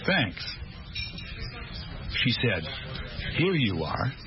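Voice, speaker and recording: An actor playing a friendly American news reporter, speaking simply and patiently in an English-lesson drama with an American accent. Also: male voice, mid-range voice, very animated, drawn-out words, background noise. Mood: friendly, helpful. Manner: clear, simplified, patient phrasing. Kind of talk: scripted language-lesson drama. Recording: muffled lo-fi lesson audio.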